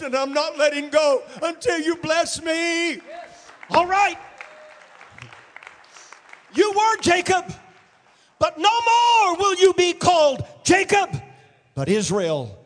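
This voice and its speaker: A man preaching a dramatic sermon, acting out voices in the story and rising to shouts at the peaks. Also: very high voice, in a large hall. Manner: dramatic, acts out biblical figures in quotes, shouts at peaks. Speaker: pastor.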